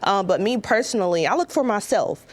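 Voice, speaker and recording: A woman's voice: mid-range voice, expressive, brisk. Earnest woman on a hiring panel. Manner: earnest, motivational. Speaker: spa manager on a panel. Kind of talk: panel talk to students.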